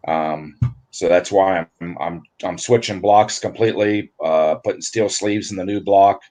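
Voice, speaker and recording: A man, a guest on a livestream, explaining engine work in a relaxed way with lots of fillers. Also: low voice, measured pace. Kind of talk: casual technical chat.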